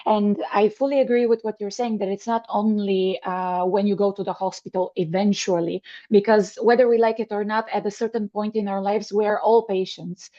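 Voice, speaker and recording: Woman from Bulgaria, host, warm mellow tone, earnest, slightly muffled lo-fi sound. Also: medium pitch, fairly even intonation, moderate pace, few pauses. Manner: earnest, agreeing, with frequent fillers and restarts. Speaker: podcast host. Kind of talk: conversational interview.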